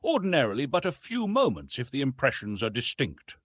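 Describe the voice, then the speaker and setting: A man voicing a patient, authoritative police official in a storybook, explaining things in a measured, formal, precise way. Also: medium pitch, wildly swooping pitch, fairly bright tone, husky, no room sound, exaggerated voice. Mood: instructive.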